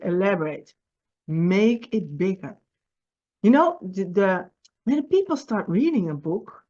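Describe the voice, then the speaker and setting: Dutch-accented woman publisher, middle-pitched, earnest and halting, on a slightly muffled recording. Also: a little mellow. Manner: earnest, halting, repeats words and restarts. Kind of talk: personal video message with writing advice.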